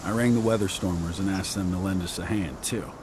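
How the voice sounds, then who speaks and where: A man's voice: low-pitched, brisk, noisy background, lightly acted. A man playing a loyal, wry friend in a video game, talking in a casual way. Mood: reassuring. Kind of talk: video game cutscene acting.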